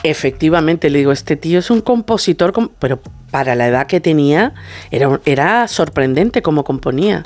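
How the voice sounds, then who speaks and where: A middle-aged woman with a fairly low-pitched voice speaks as a guest in conversation. She is effusive and animated, slipping into quoted dialogue as she tells anecdotes. Light music sits in the background. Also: fairly quick. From Venezuela. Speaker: guest, singer and vocal coach.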